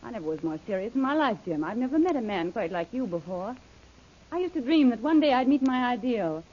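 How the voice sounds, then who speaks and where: A husky scheming femme fatale from an old radio serial, coquettish and theatrical, dull lo-fi sound. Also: female voice, fairly high pitch, very animated, fairly quick. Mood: earnest, coaxing. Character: audacious scheming villainess, coolly seductive adventuress.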